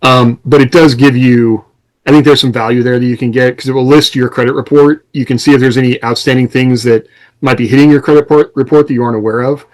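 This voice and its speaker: A man's voice: medium pitch, fairly even intonation, fast, darkish tone, low-quality recording. A man co-hosting a casual podcast, talking in an explanatory, conversational way. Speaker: podcast co-host and author.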